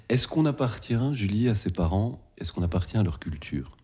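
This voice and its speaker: A male interviewer with a low, strongly husky voice. He puts personal questions in a conversational way, building them up piece by piece. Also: very animated, a little slow, mellow.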